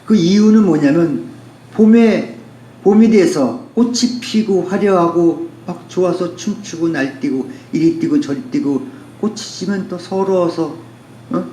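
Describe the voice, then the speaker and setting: A man's voice: high voice, measured pace, dark tone. A man speaking as a poet, musing philosophically in an unscripted, poetic way with repeated phrasing. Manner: philosophical, poetic, with rhetorical repetition. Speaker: poet and author giving a talk. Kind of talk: reflective spoken essay, unscripted. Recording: roomy echo with faint background noise.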